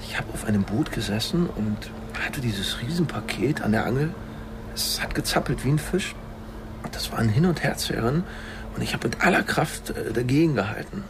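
An actor playing an ordinary angler giving a rambling, hesitant, colloquial witness account in a radio crime drama. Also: male voice, medium pitch, expressive, normal speed, natural voice. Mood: recollecting, uneasy. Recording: clearly noisy ambient background.

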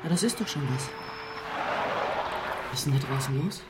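A woman's voice: a bass voice, animated, normal speed, very noisy. An actress playing an inquisitive, self-assured woman journalist from Paris in a radio crime drama. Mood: probing, casual.

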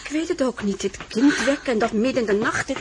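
A woman's voice: on the high side, fast talker, noisy background, lightly acted. An actress playing a fussing, disapproving lady's maid in a radio play, flustered and homely in manner. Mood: worried, indignant.

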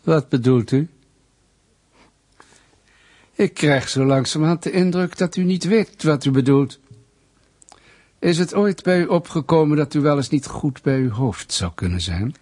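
Casting: smug Soviet interrogator, radio play. Husky, animated pitch, needling mock politeness, quiet menace. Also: male voice, unhurried, pauses often. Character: smug, menacing male Soviet state interrogator toying with suspect. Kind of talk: radio drama acting.

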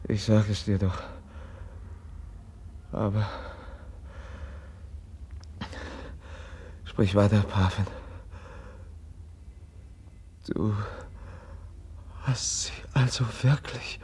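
An actor playing a gentle, naive young prince in a 1950s radio drama, speaking in a hesitant, mild way. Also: male voice, lowish voice, fairly even intonation, slow pace, pauses often, breathy edge, full character voice. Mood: weary.

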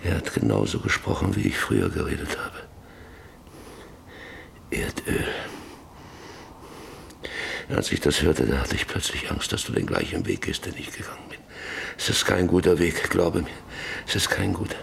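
Bitter invalid father, brooding, creaky delivery, halting repetitions, noisy vintage radio drama recording. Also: very deep voice, very animated, fairly bright tone, exaggerated voice. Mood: gloomy, fearful. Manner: brooding, halting, repeats phrases. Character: bitter, bedridden father, suspicious and despairing. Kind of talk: German radio drama acting.

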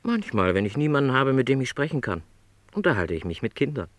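A man playing a lonely, middle-aged travelling salesman in a radio play, polite, gentle and resigned, reflecting hesitantly. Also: medium pitch, very animated, fast talker, no room sound, natural voice. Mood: wistful, reflective. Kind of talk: German radio play dialogue.

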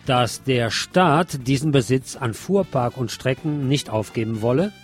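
A man's voice: mid-range voice, expressive, unhurried, soft background music. A man hosting a radio news programme, reading reports and adding his own commentary. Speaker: radio host.

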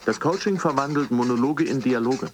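An actor playing a fitness coach in a radio play, earnestly intoning exercise and relaxation instructions. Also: male voice, mid-range voice, brisk, faint music behind, close to an everyday voice. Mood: instructive.

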